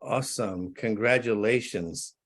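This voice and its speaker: A man's voice: on the low side, unhurried. A man hosting a conversational interview, asking questions in an encouraging way. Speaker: interviewer, host.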